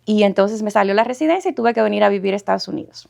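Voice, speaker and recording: A middle-aged woman with a Dominican accent, the guest of an interview podcast, telling her life story in a lively way with frequent laughter. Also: mid-range voice, rapid-fire, gravelly. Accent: Dominican Spanish. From Dominican Republic. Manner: warm, animated storyteller, laughs often.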